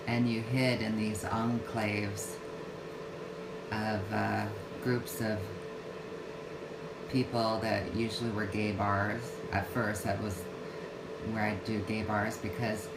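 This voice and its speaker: Woman, 50s, slow unhurried livestream chat, hesitant with restarts; echoey room, loud AC hum. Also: a bass voice, steady tone. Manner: halting, many fillers and restarts. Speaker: vlogger. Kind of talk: casual livestream talk. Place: home yoga room. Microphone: phone or webcam livestream.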